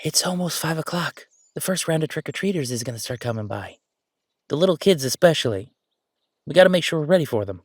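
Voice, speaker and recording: A man playing a friendly, mischievous ghost roommate in a casual roleplay audio drama, talking in an excitable, joking, conversational way. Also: fairly high pitch, fairly quick, husky, dry recording. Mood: playful, excited. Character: friendly male ghost roommate, playful, mischievous, chatty jokester.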